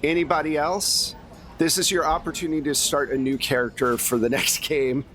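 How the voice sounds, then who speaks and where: A man's voice: a little high, little pitch movement, moderate pace, fairly bright tone, a little hiss or hum. A man speaking casually as a podcast host and game master, chatting with his players. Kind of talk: casual wrap-up chat with players.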